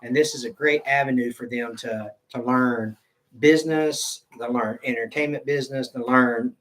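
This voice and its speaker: A man's voice: medium pitch, fairly even intonation, normal speed, a bit bright, rough recording. A middle-aged man with a Southern American accent hosting a casual livestream, rambling, stop-start and joking as he reads viewer comments. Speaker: livestream host.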